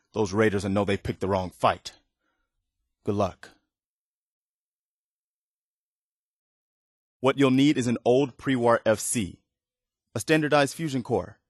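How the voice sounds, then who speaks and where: An actor playing an earnest, weary militia soldier in a video game, loyal and humble, with a sincere, plain-spoken manner. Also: male voice, on the low side, no echo, studio quality, natural voice. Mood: explaining, steady. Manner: sincere, plain-spoken, hesitant when opening up. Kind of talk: video game character dialogue.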